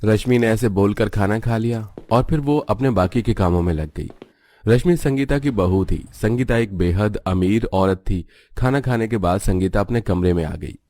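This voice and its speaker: Man narrating a cartoon story, lowish voice. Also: normal speed, mellow.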